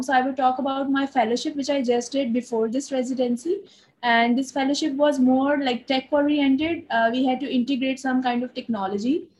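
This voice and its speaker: A woman's voice: sits high, darkish tone, poor audio. A woman from Pakistan, an artist guest on a video call interview, talking casually with lots of fillers and restarts. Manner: rambling with many fillers and restarts.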